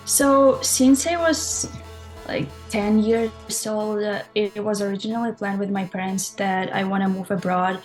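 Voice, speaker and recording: A woman's voice: medium pitch, a little slow, soft background music, poor audio. A young woman from Russia with a Russian accent, giving casual, loosely phrased interview answers as a college swimmer. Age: young adult. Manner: informal, loosely phrased, frequent "like".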